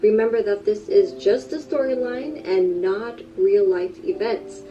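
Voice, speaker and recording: A woman giving a scripted narration in an even, measured storytelling voice. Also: medium pitch. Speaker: video narrator. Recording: muffled distant playback with music. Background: background music.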